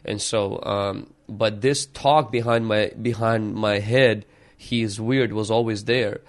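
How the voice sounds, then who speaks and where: Ukrainian-born man, pastor guest, lowish voice, earnest and reflective, stops and restarts sentences. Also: fairly even intonation, takes it slowly. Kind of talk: opening prayer, then storytelling interview answers.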